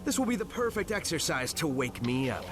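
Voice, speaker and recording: Cocky, high-voiced young villain. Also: male voice, sing-song, a little fast, background noise. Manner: taunting, brash. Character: cocky, hot-headed young male villain, vain and vengeful. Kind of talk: dramatic video game dub acting. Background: dramatic game music.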